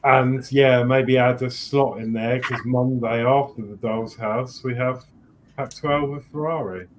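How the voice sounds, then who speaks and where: A man's voice: medium pitch, fairly even intonation, a little slow, slight background noise, rough recording. A man chatting casually as a panellist on a live stream. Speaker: show regular and panellist. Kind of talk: casual chat.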